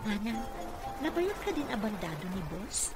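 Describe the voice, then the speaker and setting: A woman's voice: mid-range voice, big pitch swings, normal speed, music in the background. An actress playing a dutiful single mother who works as a caregiver, in Ilocano-accented radio drama, with a deferential, coaxing manner. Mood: curious, gossipy. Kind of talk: Filipino radio drama acting. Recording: noisy radio drama with background ambience.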